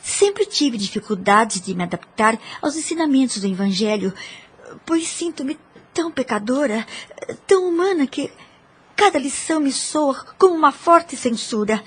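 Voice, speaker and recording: An actress playing a humble, guilt-ridden, emotional woman of faith in an audio drama, speaking in a formal, confessional manner. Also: high-pitched.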